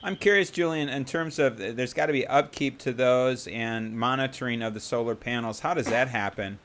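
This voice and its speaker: A man's voice: medium pitch, normal speed, fairly bright tone, a little hiss or hum. A man co-hosting an interview, asking questions in a light, joking way. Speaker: co-host.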